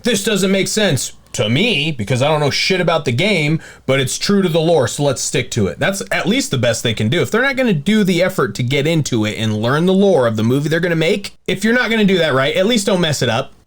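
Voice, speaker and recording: A man's voice: a little high, sing-song, fairly quick, hardly any pauses. A man co-hosting a casual podcast, speaking emphatically and bluntly with opinionated, joking asides. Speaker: podcast host.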